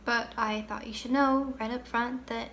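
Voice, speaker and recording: Grieving, candid young girl, acted by a woman, higher-pitched, wistful conversational stage monologue, slightly noisy amateur recording. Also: fairly even intonation, a bit bright. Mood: matter-of-fact, wistful. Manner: conversational, wistful storytelling with pauses. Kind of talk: dramatic monologue.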